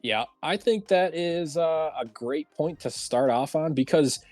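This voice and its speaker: A man's voice: a little high, measured pace, a little mellow, no room sound, soft background music. A man hosting a casual livestreamed round table talk show.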